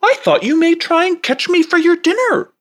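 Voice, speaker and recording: A woman's voice: very high-pitched, animated, moderate pace, pitched above the performer's own voice. A woman reading a storybook aloud and voicing a small, friendly male red fish with a cheerful, chatty manner.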